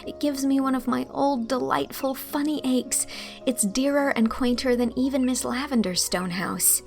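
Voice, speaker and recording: A woman performs a dreamy, romantic young woman in an audiobook drama. She speaks with earnest, breathy wonder and a creaky edge, over faint music. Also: high voice, normal speed, a little mellow, voice pushed up. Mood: delighted.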